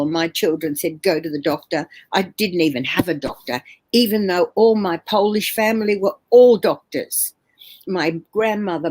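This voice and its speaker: Husky older woman on a video call. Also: fairly low pitch, darkish tone. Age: 70s. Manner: earnest, halting, many fillers and restarts. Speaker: interview guest. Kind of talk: personal story told on a video call. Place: home room.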